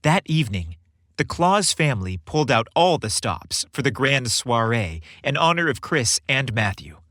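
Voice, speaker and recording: A male narrator delivers scripted storybook prose with dramatic flair. His mid-pitched voice moves in lively swings, and the recording is dry with no room sound. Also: moderate pace, no echo.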